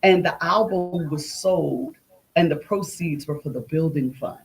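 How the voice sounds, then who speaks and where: A woman's voice: low voice, unhurried, rough recording. Woman interview guest, chatty storytelling, acts out quotes as she goes. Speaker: guest, playwright. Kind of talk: conversational storytelling.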